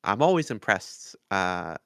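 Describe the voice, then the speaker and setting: Man, gravelly fry, fairly low voice, casual podcast chat. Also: big pitch swings, unhurried, no echo, clean studio sound. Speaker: podcast host.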